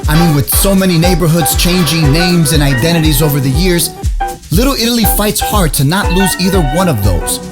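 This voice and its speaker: Upbeat male street host from Chicago, slightly high voice, loud music and crowd around him. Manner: upbeat, chatty, quick to laugh. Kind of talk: casual interview and street vlog. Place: outdoors on a city street.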